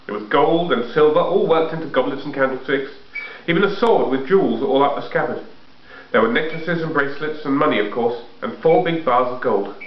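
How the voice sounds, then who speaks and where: Echoey room, slightly dull recording, man, storybook narration, mid-pitched voice. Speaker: reader of a children's story. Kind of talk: storybook read-aloud.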